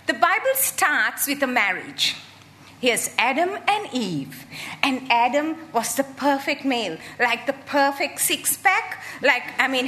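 A woman in her sixties from Sri Lanka, giving a humorous, animated public talk as a guest speaker. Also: sits high, a little hiss or hum. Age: 60s. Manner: lively, animated, joking.

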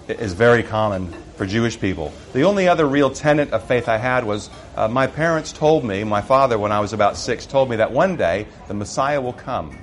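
A middle-aged man with an American accent, a missionary giving a personal testimony in a relaxed storytelling way, with frequent fillers. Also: medium pitch, a little hiss or hum. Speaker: missionary speaker.

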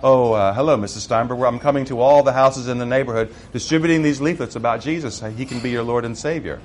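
A man's voice: mid-range voice, quick pace, a little hiss or hum, natural voice. Earnest persistent teen boy preacher, quoted by an american man, polite and eager.

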